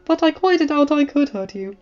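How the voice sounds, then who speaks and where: A woman voicing a kindly, wise, very elderly male maester in a fantasy story, speaking in a courtly, measured way. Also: high voice, animated, darkish tone. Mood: amused, gentle. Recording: muffled audio with music.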